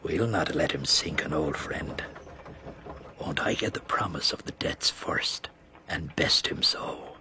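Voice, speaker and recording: An actor playing a scheming, breezy Irish gentleman rogue in a period radio drama, blustery and sly with an Irish accent. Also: rumbling low, expressive, slow pace, whispered, heavily acted. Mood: sly, muttering.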